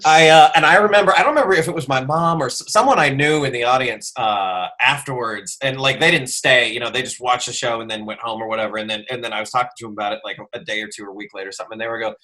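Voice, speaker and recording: A man hosting a podcast, chatting casually and telling anecdotes in a jokey, animated way. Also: mid-range voice, very fast, few pauses. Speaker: podcast host.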